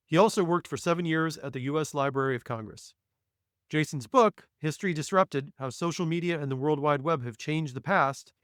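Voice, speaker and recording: A man's voice: on the high side, fairly bright tone, dry recording, studio quality. A man hosting a podcast, reading a guest introduction and then chatting with his guest in an upbeat way. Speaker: podcast host. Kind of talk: casual podcast interview.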